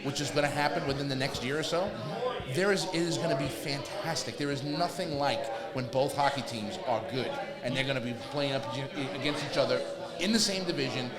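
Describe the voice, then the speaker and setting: A man co-hosting a sports show with casual banter in short, halting phrases. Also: mid-range voice. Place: live from a bar and grill. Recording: echoey bar with loud crowd. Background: loud bar crowd.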